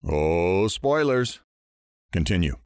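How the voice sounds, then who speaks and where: An actor playing an irreverent radio talk show host, glib, folksy and teasing, in an audio drama. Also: male voice, low voice, very animated, slow, a little mellow, smooth, no room sound, clean studio sound, full character voice. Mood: wisecracking.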